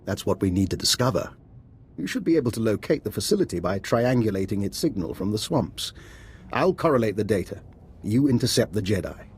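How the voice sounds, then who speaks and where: A courteous, calculating spy fixer in a video game. His voice sits low and he speaks with formal, measured politeness, over audible background ambience. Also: very animated, darkish tone, a little hiss or hum. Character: genteel, calculating imperial intelligence fixer, a man. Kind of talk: scripted game cutscene dialogue.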